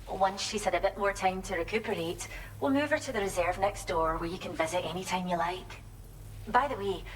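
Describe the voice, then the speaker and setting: An actress playing a friendly, grateful wildlife sanctuary researcher in a video game, explaining things gently and colloquially. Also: female voice, on the low side, slight background noise, lightly acted, low-quality recording. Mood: warm, grateful. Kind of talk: video game character dialogue.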